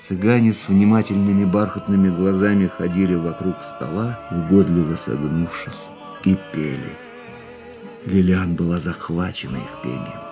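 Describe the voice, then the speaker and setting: A male actor playing a world-weary man in a radio play, musing tenderly on the woman he loves in a measured, reflective monologue. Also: sits low, gravelly, mellow. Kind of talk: literary first-person monologue in a radio play. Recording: music under the voice.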